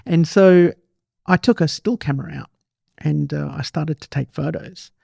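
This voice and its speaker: Male podcast host, creaky voice, close dry sound, casual chat, chatty storyteller, medium pitch. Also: moderate pace, dark tone, no room sound. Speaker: podcast host interviewing a guest.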